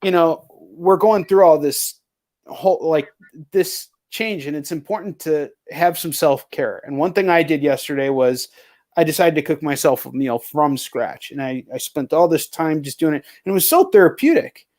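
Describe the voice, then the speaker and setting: High-pitched man, candid and sweary. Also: expressive, normal speed, darkish tone. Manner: candid, profane, rambling. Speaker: podcast host. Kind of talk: casual chat.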